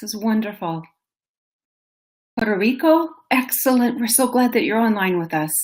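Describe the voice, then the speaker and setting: A woman's voice: mid-range voice, animated, normal speed, darkish tone. A woman with a Minnesota accent hosting an online education webinar, upbeat and effusive, speaking over a video call. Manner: upbeat, effusive, addresses audience directly. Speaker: webinar host. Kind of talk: enthusiastic webinar presenting. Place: indoor room, webcam.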